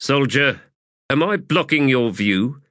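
A man's voice: medium pitch, lively intonation, slow pace, a bit bright, no room sound, clean studio sound, pitched above the performer's own voice. A man voicing a commanding, exasperated army commander in a formal, clipped audiobook performance. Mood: stern. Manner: formal, clipped, authoritative oratory. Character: commanding, exasperated male army commander addressing his troops. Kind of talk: audiobook character acting.

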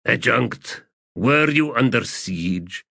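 A man's voice: medium pitch, lively intonation, takes it slowly, gravelly, pushed and bright, dry recording, pitched above the performer's own voice, heavily acted. Male reader doing a drawling, sardonic priest, weary and tormented, dry sound.

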